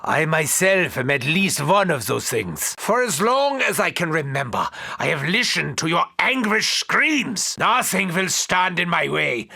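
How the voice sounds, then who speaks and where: An actor playing an arrogant, menacing German-accented mad doctor in a video game, with grandiose, formal, theatrical delivery. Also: male voice, on the high side, very animated, measured pace, gravelly, hardly any pauses, pushed and bright, exaggerated voice. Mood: cold, dry. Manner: grandiose, formal, precisely worded. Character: arrogant, menacing male mad doctor battling undead hordes.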